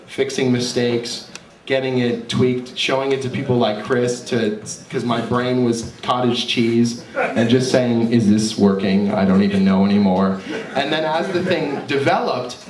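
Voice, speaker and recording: A man giving an informal talk as an author and comic artist, candid, animated and self-deprecating. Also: medium pitch. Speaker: author and comic artist presenting his work. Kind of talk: informal live talk with slides. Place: indoor cafe event space. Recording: roomy, distant mic, faint background noise.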